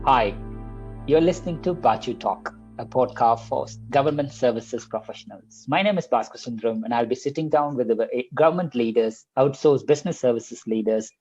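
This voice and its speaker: A man's voice: mid-range voice, fairly quick, a little mellow, lo-fi sound, soft background music. A man hosting a podcast, reading a long guest introduction with light jokes and a few stumbles. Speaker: podcast host. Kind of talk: podcast host introduction and interview.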